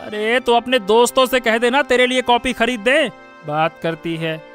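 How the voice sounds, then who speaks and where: A man plays a harsh village father in a cartoon. His voice is very high-pitched and sharp, blunt and scolding, over faint music. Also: moderate pace. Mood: angry. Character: harsh, dismissive, hard-drinking village father. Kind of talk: Hindi cartoon story voice acting.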